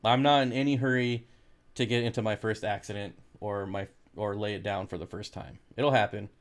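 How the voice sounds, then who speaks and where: A man's voice: fairly low pitch, fairly even intonation, brisk. An American man hosting a casual livestream, talking in a laid-back, jokey way as he reads and answers comments. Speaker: livestream host reading and answering viewer comments.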